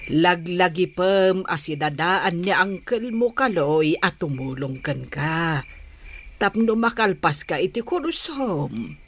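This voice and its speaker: A caring middle-aged mother with an Ilocano Filipino accent, speaks very slowly in a lowish voice. It is patient radio-drama counsel, with faint noise and crickets behind. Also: lively intonation. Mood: gentle, advising. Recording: faintly noisy track.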